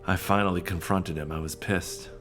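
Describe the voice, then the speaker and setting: A man's voice: low-pitched, steady tone, moderate pace, fairly bright tone, soft background music. Anxious, guilt-ridden office man. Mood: tense, regretful. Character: anxious, guilt-ridden male HR professional in crisis. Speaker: actor in a scripted podcast. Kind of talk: naturalistic audio drama acting.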